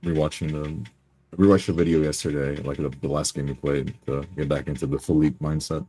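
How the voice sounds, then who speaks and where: A man with a very deep, husky voice, chatting casually as a tabletop game player. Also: level tone, fairly quick, warm tone. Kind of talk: casual chat among players.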